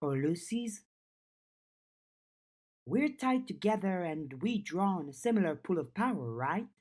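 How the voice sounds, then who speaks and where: A woman's voice: fairly low pitch, big pitch swings, a little slow, a little mellow, pitched above the performer's own voice. A woman voicing a blunt, restless teenage girl with a wry streak in an audiobook. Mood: musing. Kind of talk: audiobook character dialogue.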